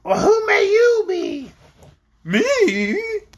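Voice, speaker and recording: A woman voicing an eccentric, hospitable man from a storybook, with a wobbly, shaking voice and drawn-out exclamations. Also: very high-pitched, big pitch swings, voice pushed up, heavily acted. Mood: astonished. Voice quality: wobbly, shaky, produced by shaking the head. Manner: drawn-out, exclamatory, self-important.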